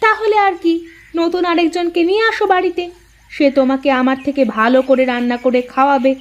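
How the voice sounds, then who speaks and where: A woman voicing a nagging, sharp-tongued village housewife in a cartoon folk tale, with rural speech and a scolding, sarcastic manner. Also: high-pitched, flat delivery, normal speed, muffled recording, natural voice. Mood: offended.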